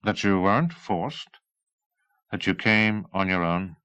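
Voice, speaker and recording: A man reading the part of a calm, diplomatic army colonel in a measured, dry manner. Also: low-pitched, clean tone, dull audio, full character voice. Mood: dryly probing.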